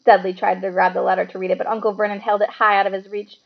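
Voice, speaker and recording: A woman narrating a children's novel aloud as an expressive storybook reader. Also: mid-range voice, quick pace, gravelly, lo-fi sound.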